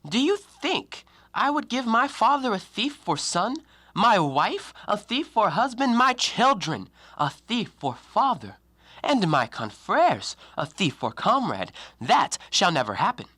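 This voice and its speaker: Audio drama: male actor for a self-righteous, scornful intellectual man; bright voice, precise argumentative diction, very dry close sound. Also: high-pitched, very animated, unhurried, dry recording, full character voice. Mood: resolved, accusing. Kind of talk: audio play acting.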